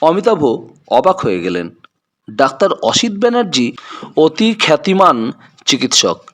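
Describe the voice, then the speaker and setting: A man's voice: fairly high pitch, fairly bright tone. A man narrating a scripted detective audio story in a dramatic storytelling style.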